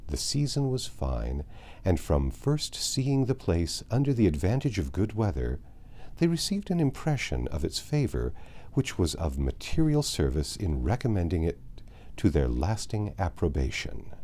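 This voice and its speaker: A man reads literary prose as an audiobook narrator. His low voice is soft-edged and mellow, and his pitch rises and dips widely through each measured sentence. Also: sing-song.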